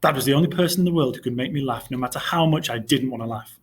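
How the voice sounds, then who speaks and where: A man reading a storybook aloud in expressive narration, like a teacher to a class. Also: mid-range voice, rapid-fire, a little hiss or hum.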